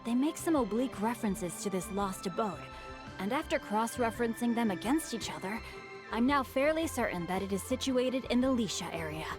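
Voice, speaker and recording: A woman's voice: a little high, animated, brisk, natural voice. A woman voicing a courteous, bookish young man in a video game, speaking formally and eloquently over music. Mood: thoughtful. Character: refined, bookish young male guild heir, courteous. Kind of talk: video game character acting. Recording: music behind the voice.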